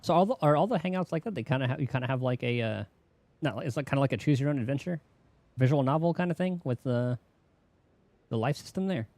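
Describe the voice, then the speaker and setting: A man's voice: medium pitch, lively intonation, fast, a little mellow, no room sound. A man giving casual, rambling gameplay commentary as a streamer, in an offhand way. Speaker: game streamer.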